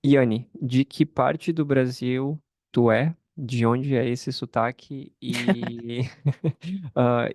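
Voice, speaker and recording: A man's voice: medium pitch, fairly even intonation, extremely slow, a little mellow, no echo, clean studio sound. A man hosting a casual conversational interview, speaking informally and thinking aloud as he goes. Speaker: podcast host, interviewer and content creator.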